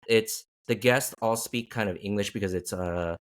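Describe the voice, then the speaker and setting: A man's voice: on the low side, normal speed. A man hosting a casual interview, rambling with many restarts and fillers. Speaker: podcast host.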